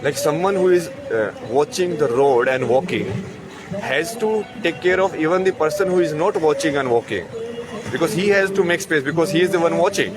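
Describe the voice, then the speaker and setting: A man's voice: fairly high pitch, normal speed, crowd noise, poor audio. A man talking in a rambling, casual way, with lots of "like" and "no?". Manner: rambling, uses "like" and "no?" a lot, chuckles. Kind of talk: casual monologue.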